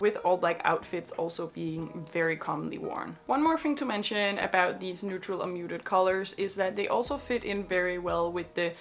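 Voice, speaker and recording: A woman's voice: lowish voice, vocal fry. Young woman from Denmark explaining style. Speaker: fashion vlogger. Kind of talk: scripted talk to camera.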